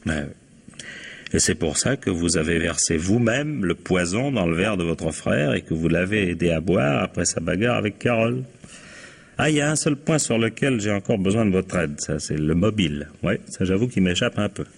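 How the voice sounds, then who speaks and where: Radio mystery inspector: low, husky, shrewd and self-assured, laying out his case with measured, probing confidence. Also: lively intonation, heavily acted. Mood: probing, satisfied. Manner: measured, probing, ends points with "hein?", quietly triumphant. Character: shrewd, self-assured male police inspector explaining a crime. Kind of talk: French mystery radio play acting.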